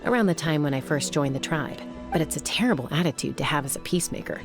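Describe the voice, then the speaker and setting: An actress playing a calm young female warrior and peacemaker who talks in an easygoing, measured way, in a game scene. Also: sits low. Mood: thoughtful. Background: game music.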